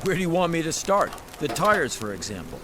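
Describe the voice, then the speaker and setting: A man's voice: a little high, big pitch swings, a little fast, very noisy. An actor playing a rattled used-car salesman in a radio drama, with exasperated, agitated patter. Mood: nervous, reluctant.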